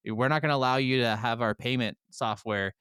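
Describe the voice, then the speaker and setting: A man's voice: medium pitch, fairly quick, a bit bright, smooth, no room sound, studio quality. A man co-hosting a podcast in casual, informal conversation, airing his opinions with some swearing. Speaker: podcast co-host.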